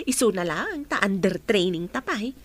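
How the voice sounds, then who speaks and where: A woman's voice: mid-range voice, big pitch swings, unhurried. A woman with a Filipino accent playing a giggly, boy-crazy young hotel trainee in an Ilocano radio comedy drama. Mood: chatty.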